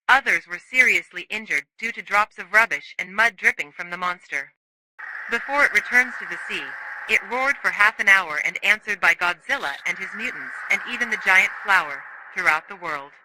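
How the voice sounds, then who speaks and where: News reporter played by a man for horror bulletin: high male voice, forceful and sharp, dry radio-like sound. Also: dry recording, heavily acted, rough recording. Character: news reporter announcing a monster disaster. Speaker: news narrator. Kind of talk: scripted news narration.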